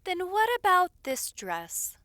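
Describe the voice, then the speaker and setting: Woman playing a bright, high-voiced fussing maid. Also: huge pitch swings, takes it slowly, no room sound, clean studio sound, full character voice. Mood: fond, deferential. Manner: attentive, gently scolding, politely familiar. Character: caring, fussing, loyal young lady's maid. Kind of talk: audio roleplay acting.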